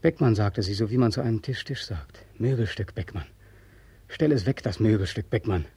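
An actor playing an embittered, despairing young soldier home from captivity, in an agitated, repetitive 1940s radio drama manner. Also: male voice, on the low side, normal speed, warm tone, husky, dry recording. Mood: bitter, despairing.

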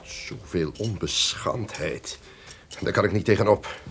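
An actor playing a tyrannical, haunted duke in a theatrical, declamatory radio drama style. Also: male voice, low voice, measured pace, gravelly, loud music behind the voice. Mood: indignant, vengeful. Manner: theatrical, declamatory, swinging from menace to dread. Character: villainous murderous duke, tyrannical, haunted and raging. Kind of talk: old radio drama acting.

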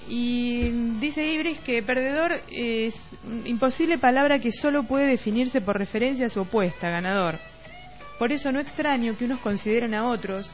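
A woman with a Rioplatense Argentine accent co-hosting a casual literary radio chat, at times reading out comments. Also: fairly high pitch. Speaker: radio co-host. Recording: slightly dull radio audio with music behind. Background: music.

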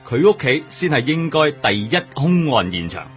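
A man's voice: medium pitch, very animated, moderate pace, lightly acted. A man with a Hong Kong Cantonese accent acting a chatty, teasing, caring police detective in a radio drama. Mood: keen, explaining. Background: background music.

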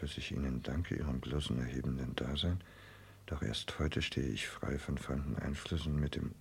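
A very deep, creaky-voiced secretary. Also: male voice, full character voice. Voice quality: gravelly. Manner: formal, deliberate, deferential diction. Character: obsequious male private secretary, secretly calculating and love-struck. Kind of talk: 1960s German radio play acting. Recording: noisy old 1960s radio recording.

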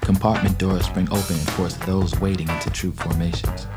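A man giving a measured, literary narration for a radio drama. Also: low-pitched, level tone. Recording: loud music with plucked guitar behind. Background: music, plucked guitar.

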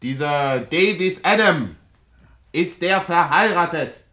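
Radio play: helpful rustic local, colloquial and clipped, very animated, forceful tone. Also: male voice, fairly high pitch, slow pace, exaggerated voice. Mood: helpful, emphatic. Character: plain-spoken rural local man, helpful, animated. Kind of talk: German radio play acting.

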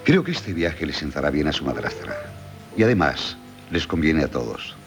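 An actor with a Castilian accent playing an earnest middle-aged former teacher and suitor in formal, solemn radio theatre style. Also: male voice, very animated, normal speed, over music, full character voice. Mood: guarded, courteous. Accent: Castilian Spanish. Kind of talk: 1970s Spanish radio theatre acting. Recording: old radio broadcast, low rumbling noise.

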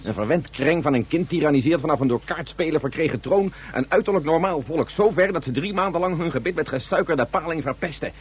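A man playing an exasperated, anxious father and professor in a radio drama, indignant and halting with emotion. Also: on the high side, slightly rough. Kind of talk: Dutch radio drama acting.

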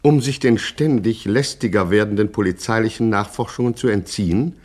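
An actor playing a bombastic storyteller, declaiming a mock-heroic gangster tale as radio narration. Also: male voice, on the low side, a little fast, a little mellow, close to an everyday voice. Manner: declamatory, grandiose.

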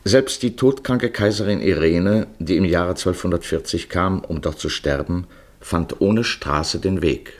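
A man narrating a literary radio play in a solemn, measured, poetic manner. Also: low-pitched.

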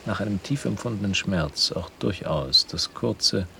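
A man's voice: low-pitched, lively intonation, measured pace, creaky, pushed and bright, a little hiss or hum, exaggerated voice. An actor in a radio drama playing a coldly menacing criminal businessman who speaks in pompous, formal sentences. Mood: veiled menace. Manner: pompous, elaborately formal, veiled threats.

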